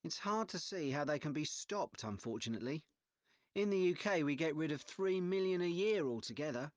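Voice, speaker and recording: A man playing an easygoing, agreeable young student in a measured, understated scripted dialogue. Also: a little high, expressive, no echo, close to an everyday voice. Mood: thoughtful. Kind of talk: scripted exam listening dialogue.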